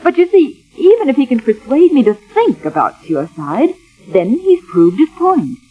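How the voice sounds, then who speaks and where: High-voiced, imperious wealthy lady. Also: big pitch swings, normal speed, phone-quality sound, faint music behind. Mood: breezy, unconcerned. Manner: theatrical, crisp, dismissive. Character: wealthy married woman employer, breezy, stubborn, imperious. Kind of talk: 1940s radio drama acting. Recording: vintage 1940s radio recording.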